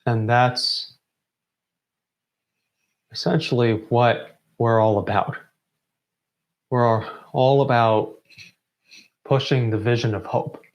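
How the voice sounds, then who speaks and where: A man delivering a prepared closing address in deliberate phrases broken by pauses. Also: medium pitch, steady tone, lo-fi sound. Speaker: member of a student theatre group giving closing remarks.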